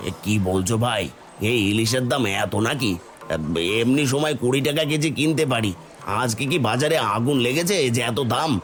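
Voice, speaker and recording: A man's voice: medium pitch, moderate pace, faint music behind, lightly acted. Man acting a lazy, grumbling cartoon husband. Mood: haggling. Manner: grumbling, complaining. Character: lazy, grumbling village husband, stingy haggler.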